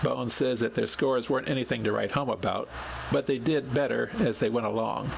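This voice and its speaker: Very gravelly male podcast narrator, noisy recording. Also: mid-range voice, fairly quick. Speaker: podcast host. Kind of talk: scripted narration, reading a written account.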